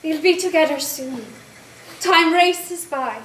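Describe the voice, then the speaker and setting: A woman's voice: very high voice, slow pace, a bit bright, heavily acted. A woman playing a devoted, plucky young German fiancée in a theatrical, declamatory stage style. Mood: tender. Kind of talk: stage play acting. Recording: echoey stage recording, faint noise.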